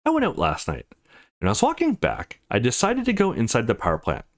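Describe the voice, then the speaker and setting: An earnest teenage boy from a visual novel, read aloud by a man. He is serious and insistent, a little defensive, with a middling male pitch and a very lively, swinging delivery. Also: fairly quick. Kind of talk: visual novel character reading.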